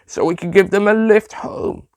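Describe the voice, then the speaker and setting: A man's voice: high voice, normal speed, gravelly, a little mellow, clear, resonant tone, no echo, pitched above the performer's own voice. A man voicing a heroic, quippy male adventurer in a science fiction audio story. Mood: firm.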